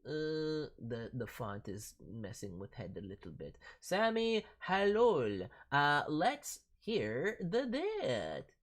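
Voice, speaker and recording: Cheeky little cartoon streamer creature, super animated, dry close sound, kinda boastful. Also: mid-range voice, slow pace, hardly any pauses, dry recording, heavily acted. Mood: chatty, musing. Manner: playful mispronunciations, cheeky, boastful. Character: Rocky, excitable cartoonish non-human VTuber mascot critic. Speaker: host reviewing voice demo reels. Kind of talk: casual live stream commentary.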